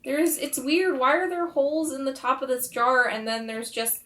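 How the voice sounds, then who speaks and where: A woman playing a nervous, shaken young girl in a tabletop game, speaking in a halting, uncertain way. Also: sits high, fairly even intonation, a bit bright. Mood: unsure, scared. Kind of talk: tabletop roleplay.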